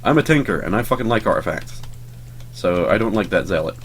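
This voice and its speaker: A man's voice: fairly low pitch, lively intonation, a little fast, a little hiss or hum. A young man, just over a cold, casually talking through a game he is playing in a sleepy, rambling way with lots of fillers. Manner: rambling and sleepy, lots of ums, swears offhandedly. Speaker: let's play host. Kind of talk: casual gameplay commentary.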